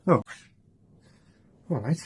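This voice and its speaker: A man's voice: slow pace, lightly acted. An actor playing a nervous, deferential junior policeman in a radio drama, hesitant and anxious in his speech. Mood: eager, hesitant.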